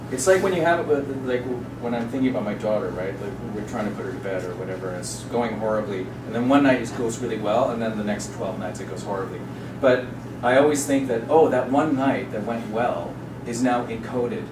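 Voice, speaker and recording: Man, noisy off-mic recording, thoughtful conversational delivery that feels for each word. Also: medium pitch. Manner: halting, earnest, searching for words. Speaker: panel participant at a theatre discussion. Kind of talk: casual spontaneous discussion. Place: echoey room at a live discussion event. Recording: distant, very noisy, echoey room.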